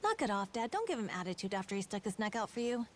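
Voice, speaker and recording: Actress as a lively, kind young woman. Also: medium pitch, fast, a little hiss or hum, close to an everyday voice. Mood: scolding. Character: kind, plainspoken young woman, firm with her father. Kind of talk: English video game dub acting.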